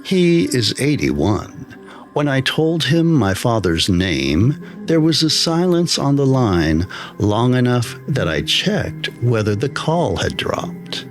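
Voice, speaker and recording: A man's voice: mid-range voice, sing-song, slow pace. A man narrating a scripted first-person horror story as a storyteller. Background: music.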